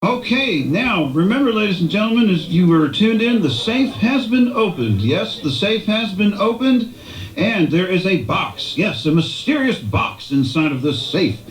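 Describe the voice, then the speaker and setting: A man's voice: high voice, very animated, normal speed, soft background music. A man hosting a radio show in a folksy announcing style, repeating phrases for emphasis. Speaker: radio host. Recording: echoey room.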